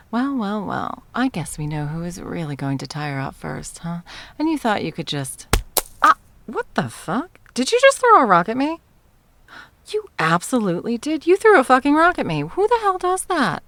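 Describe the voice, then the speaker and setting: A woman's voice: on the high side, big pitch swings, moderate pace, fairly bright tone, dry recording. A woman voicing an arrogant, undying sorceress tyrant in an ASMR roleplay, taunting, theatrical and condescending. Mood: outraged. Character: arrogant undying sorceress tyrant, mocking, easily bored, playful. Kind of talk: ASMR roleplay audio drama.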